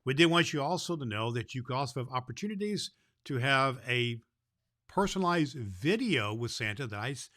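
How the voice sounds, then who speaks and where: A man playing a kindly old Santa Claus, hosting a children's Christmas show in a folksy, deliberate storyteller's manner. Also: mid-range voice, lively intonation, fairly bright tone, no room sound, studio quality, lightly acted. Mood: friendly, inviting. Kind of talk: scripted announcements and storybook reading for children.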